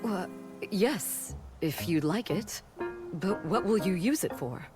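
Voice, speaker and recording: A woman's voice: fairly low pitch, lively intonation, slow, music in the background. A woman playing a proud, vengeful warrior noble in a video game, speaking in a formal, grave manner. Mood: grateful, solemn. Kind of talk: dramatic video game cutscene acting.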